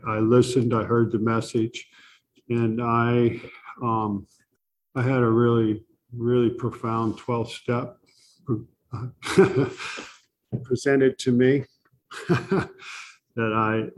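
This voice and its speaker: A soft-spoken man sharing his recovery story at a support meeting, halting often with pauses and fillers. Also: mid-range voice, slight background noise, low-quality recording. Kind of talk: personal recovery share.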